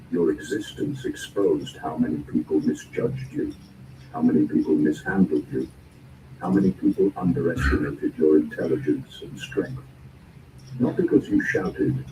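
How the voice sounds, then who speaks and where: A man delivering a scripted spoken-word motivational narration in deliberate, measured phrases and short emphatic statements. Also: low voice. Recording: roomy, distant, clearly noisy recording.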